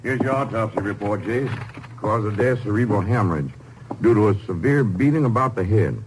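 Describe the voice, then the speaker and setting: An actor playing an easygoing small-town Texas sheriff, plain-spoken and laconic, in a 1950s radio drama. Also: male voice, lowish voice, animated, a little fast, over music, lightly acted. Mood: sober, businesslike. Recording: noisy old 1950s radio recording.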